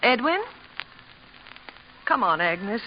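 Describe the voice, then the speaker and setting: Coaxing wife, noisy old radio. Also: female voice, mid-range voice, sing-song, slow pace. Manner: coaxing, fussing. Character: coaxing, devoted, brisk wife of a vain actor. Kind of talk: 1950s radio comedy acting. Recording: muffled, hissy old radio recording.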